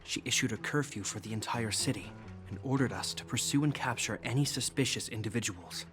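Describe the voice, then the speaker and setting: An actor playing a dutiful, earnest younger brother and military officer in a video game, measured, formal and polite. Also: male voice, mid-range voice, lightly acted. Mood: reluctant, serious. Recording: music clearly behind the voice.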